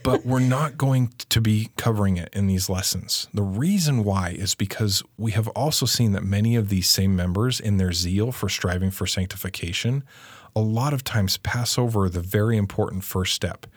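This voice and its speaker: Low, husky man teaching scripture. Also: moderate pace, no echo, studio quality. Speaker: co-presenter of a religious lesson.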